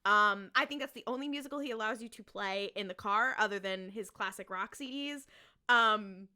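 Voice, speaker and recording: A woman's voice: medium pitch, moderate pace, bright, forceful tone. A woman chatting casually and comedically as a podcast panelist, emphatic like a fan and repeating phrases for weight.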